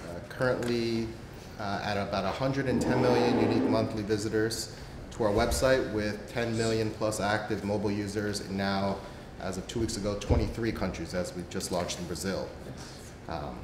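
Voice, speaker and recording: A man speaking on a panel, matter-of-fact and halting, with fillers. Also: fairly low pitch. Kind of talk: panel discussion. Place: event room before an audience. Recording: echoey room with noise.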